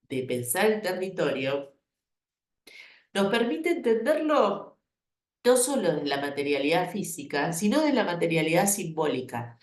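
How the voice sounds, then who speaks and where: A woman in her fifties from La Plata, Argentina, with a Rioplatense accent, giving a university lecture in a chatty way, full of fillers and lively rises. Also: on the low side, reverberant. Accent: Rioplatense Argentine Spanish. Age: 50s. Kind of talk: lecture, thinking aloud.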